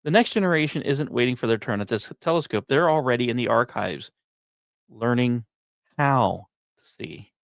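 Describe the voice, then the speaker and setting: Male podcast host, medium pitch, scripted science storytelling, dramatic pauses, the odd casual aside, very dry recording. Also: animated, gravelly, no room sound.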